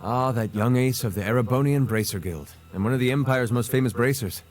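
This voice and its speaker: An actor playing an eloquent, playful noble prince in a game cutscene, with a refined, theatrical manner. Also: male voice, mid-range voice, a little fast, natural voice. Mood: informative, admiring. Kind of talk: scripted game cutscene acting. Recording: music behind the voice.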